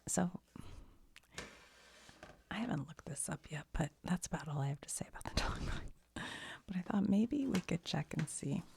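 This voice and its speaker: A woman giving a soft-spoken, mostly whispered ASMR ramble, breathy and conversational, tentative and often trailing off. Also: on the low side, animated, normal speed, long pauses, a little hiss or hum. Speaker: ASMR video host.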